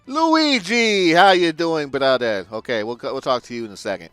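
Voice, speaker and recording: A man's voice: fairly high pitch, animated, moderate pace, a bit bright, clear, resonant tone, no echo. A man casually commenting while playing a game, laid-back with crude jokes and mumbled asides. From Puerto Rico. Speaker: gaming video host. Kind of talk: casual let's-play commentary. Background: game music.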